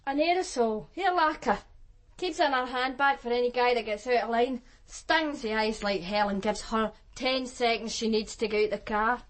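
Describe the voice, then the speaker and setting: An actress playing a streetwise, defiant Glasgow sex worker with a Glaswegian accent, blunt and colloquial in a radio drama. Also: female voice, fairly high pitch, normal speed, close to an everyday voice. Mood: frank, weary. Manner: blunt, colloquial, matter-of-fact. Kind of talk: naturalistic radio drama acting.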